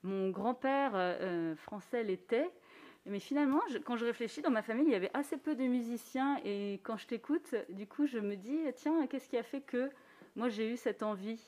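A woman musician speaking in a thoughtful, earnest way during a radio conversation, sometimes laughing softly. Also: fairly high pitch, normal speed. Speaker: musician guest. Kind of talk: reflective conversation.

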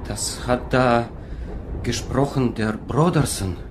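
Male sailor, acted, guarded, slow clipped broken phrases, mid pitch, radio play. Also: noisy background, lightly acted. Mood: evasive. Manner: halting, clipped broken sentences. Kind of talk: radio drama acting.

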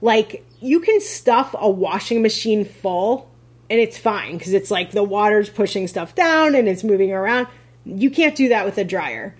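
A chatty woman on a casual podcast, her voice a little husky. She talks loosely, piling on fillers and laughing lightly as she explains. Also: mid-range voice, expressive, a little slow. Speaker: podcast co-host, rental host.

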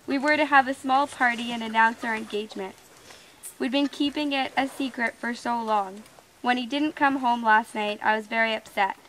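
A woman's voice: fairly high pitch, steady tone, normal speed, fairly bright tone, a little hiss or hum, natural voice, poor audio. A woman reading the part of a young bride-to-be worried about her missing fiancé, in a scripted ghost-story monologue outdoors at night. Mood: anxious.

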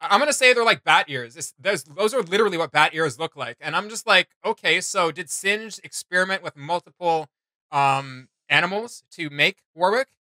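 A man's voice: sits high, animated, normal speed, pushed and bright, no room sound, poor audio. A man in his thirties chatting casually and emphatically as an enthusiastic host of reaction videos. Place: small home room with computer desks, indoors.

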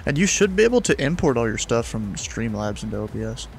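A young man with a gravelly voice talks bluntly and casually into a desk mic at home. Faint noise hangs behind him. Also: mid-range voice, very animated, fast talker. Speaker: streamer host. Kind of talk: casual live-stream chat. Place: home room.

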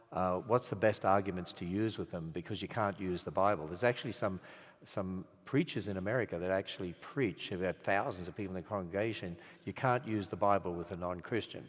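An older man with an Australian accent, preaching in an earnest, conversational way full of restarts. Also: low voice. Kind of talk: storytelling sermon. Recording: lo-fi sound in a reverberant hall.

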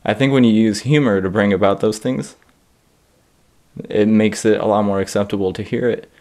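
A man's voice: lowish voice, steady tone, moderate pace, a little mellow. A young man from Iraq, a stand-up comedian, talking reflectively in an interview and laughing as he speaks. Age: young adult. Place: studio against a plain grey backdrop.

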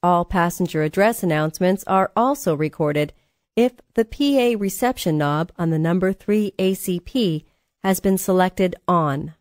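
A woman reading scripted training narration in a measured, formal manner, as a course narrator. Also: lowish voice, a little mellow, no echo, studio quality.

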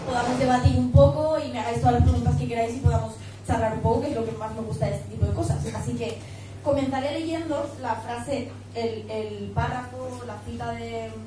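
A woman's voice: on the high side, steady tone, fairly quick, background noise. Young woman speaking in an echoey pub. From Spain. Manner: friendly, chatty, with many fillers. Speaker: book author and speaker. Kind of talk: public talk presentation. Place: pub event room. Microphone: handheld microphone. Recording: echoey room, far camera.

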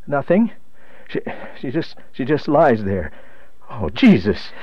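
A man's voice: medium pitch, sing-song, takes it slowly, dark tone, no room sound, dull audio. An actor playing a nervous, weary divorced man with a hesitant delivery in a radio drama. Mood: frightened, panicky. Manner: hesitant, stammering.

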